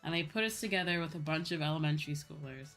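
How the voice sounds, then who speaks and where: A young adult woman streamer telling personal stories in a casual, animated, giggly chat style. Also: sits low, quick pace, poor audio. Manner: animated retelling with acted-out quotes and frequent giggles. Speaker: streamer, VTuber host. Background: background music.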